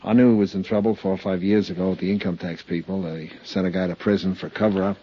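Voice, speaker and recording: Husky, low-voiced radio crook. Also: male voice, steady tone, fast. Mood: resigned, confessing. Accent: American. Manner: terse, streetwise, slangy. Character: shifty, cynical male career arsonist in jail. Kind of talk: 1950s radio detective drama acting. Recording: dull 1950s radio recording.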